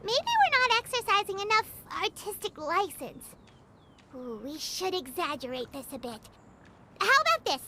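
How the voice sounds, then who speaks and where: An actress voicing a tiny, chatty female fairy companion in a game, talking in an excitable, chirpy way. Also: extremely high pitch, moderate pace, heavy background noise. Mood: bubbly.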